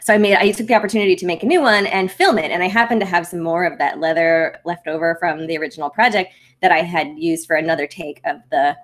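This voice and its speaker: A young woman chats softly on her livestream, rambling through her projects with plenty of ums and likes. Her voice sits a little low for a woman, even though she speaks gently. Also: quick pace. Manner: speaks softly and higher-pitched. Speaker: maker livestream host. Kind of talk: casual livestream chat.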